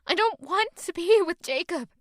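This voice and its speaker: An actress playing a young woman in love who also narrates, speaking softly and yearningly in an audio drama. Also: extremely high pitch, lively intonation, moderate pace, dry recording, clean studio sound. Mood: pleading. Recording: loud music underneath.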